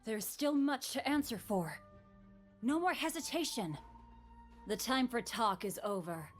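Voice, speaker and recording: A woman's voice: on the high side, animated, measured pace, faint music behind. A woman playing a battle-worn warrior in a video game, broken and remorseful but resolute, speaking in solemn, terse lines. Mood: determined. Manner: solemn, terse, dramatic delivery.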